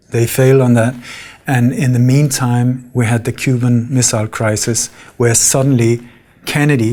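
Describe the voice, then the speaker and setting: A middle-aged man from Denmark giving a conference talk, earnest and halting, with frequent filler sounds. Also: mid-range voice, a little mellow. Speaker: conference speaker.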